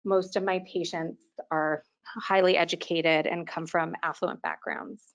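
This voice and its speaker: A woman in her thirties with a slightly low voice, lecturing to a webinar audience. She talks as an orthodontist in a clear, organized way, often leading into her points with "so". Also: steady tone, measured pace. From Quebec, Canada. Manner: clear, organized delivery, often opening sentences with "so". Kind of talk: continuing-education webinar lecture.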